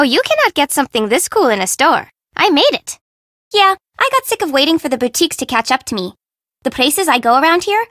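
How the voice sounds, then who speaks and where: A woman's voice: sits high, a bit bright, dry recording, clean studio sound. An actress voicing a flippant, sassy young fashion-minded city girl in a video game, with a teasing, slangy, blunt way of talking. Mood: enthusiastic, breezy. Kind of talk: video game character dialogue.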